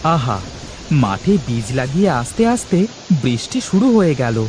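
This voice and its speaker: A man's voice: mid-range voice, sing-song, normal speed, a little mellow, soft background music, lightly acted. A man voicing a humble, earnest young village farmer who exclaims a lot in a cartoon story. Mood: earnest, relieved. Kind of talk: animated moral-story dubbing.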